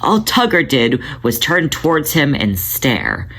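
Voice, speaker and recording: A man narrating his own fan fiction as an audiobook, in a steady, measured reading. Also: medium pitch, vocal fry, slight background noise. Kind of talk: podfic story narration.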